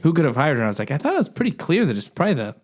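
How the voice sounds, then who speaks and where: A man's voice: a little high, huge pitch swings, very fast. A man co-hosting a podcast, talking through things in casual discussion. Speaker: podcast co-host.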